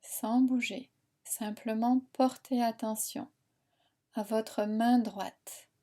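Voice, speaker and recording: A woman guiding a meditation in a soothing, unhurried, instructing manner with long pauses. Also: a little high, steady tone, warm tone. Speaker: relaxation and yoga nidra guide. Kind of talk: guided meditation narration.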